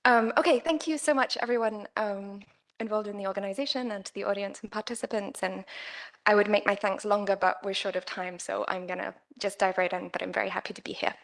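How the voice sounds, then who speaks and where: A woman presenting an academic paper at a conference, with a quiet voice and frequent "um"s. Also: medium pitch, steady tone, fairly quick, vocal fry. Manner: quiet-voiced, careful with many fillers. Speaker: conference speaker. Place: conference room.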